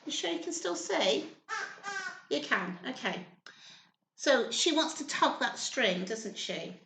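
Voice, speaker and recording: A woman reading a story aloud to small children in an expressive, encouraging way, often asking questions. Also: fairly high pitch, slow pace, vocal fry. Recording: roomy echo, faint background noise.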